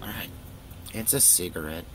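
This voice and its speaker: A man's voice: low-pitched, moderate pace, noisy background. A middle-aged man telling personal stories in a casual, rambling, laid-back way with wry self-deprecation. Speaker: storytelling vlogger, former youth pastor.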